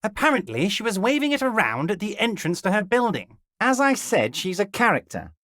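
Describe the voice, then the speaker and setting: An actor voicing a jovial, scheming middle-aged union boss in a video game, smooth and ingratiating, dropping low and soft on pet names, crude at times. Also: male voice, huge pitch swings, a little fast, no room sound, clean studio sound, full character voice. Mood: smug, ingratiating. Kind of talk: video game character dialogue.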